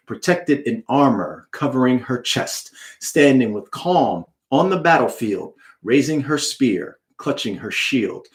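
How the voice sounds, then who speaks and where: A man, a children's author hosting a live read-aloud, moving between casual chat and expressive, dramatic poetry reading. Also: medium pitch.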